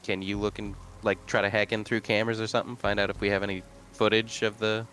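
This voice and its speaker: Low-voiced man playing a tabletop game. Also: flat delivery, fast talker, fairly bright tone, slight background noise. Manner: hesitant, wry, thinking aloud. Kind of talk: casual game chat.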